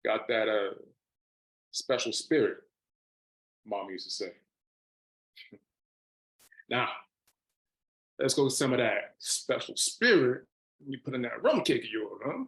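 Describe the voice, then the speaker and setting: An easygoing, teasing brother played by a man in a script read. His mid-pitched voice rises and falls freely, with a wry, folksy way of talking. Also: big pitch swings, slow, gravelly, pauses often, a bit bright, full character voice, low-quality recording. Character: easygoing, teasing younger brother called little. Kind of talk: live dramatic script read.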